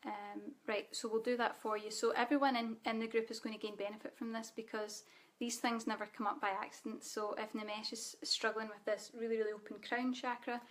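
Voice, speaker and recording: A Scottish woman leading a live online spiritual training, speaking softly and calmly, guiding listeners with frequent ums. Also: fairly high pitch, brisk, hardly any pauses. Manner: soft guided-meditation delivery with fillers. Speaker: spiritual teacher.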